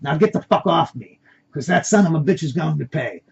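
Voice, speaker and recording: Man acting a loud, hot-headed masked thug, husky. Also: on the high side, animated, brisk, full character voice. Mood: furious. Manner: loud, profane, taunting. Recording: dull lo-fi recording.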